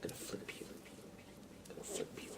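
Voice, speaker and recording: A man whispering softly and casually as he hosts an ASMR livestream, in a hushed, unhurried manner.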